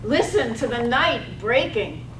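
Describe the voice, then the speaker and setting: A woman's voice: fairly high pitch, big pitch swings, takes it slowly, a bit bright, exaggerated voice. A woman playing a lyrical, wry narrator in a staged reading of a poetic radio drama. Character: lyrical, wry woman storyteller of a small town. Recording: echoey room, distant, faint noise.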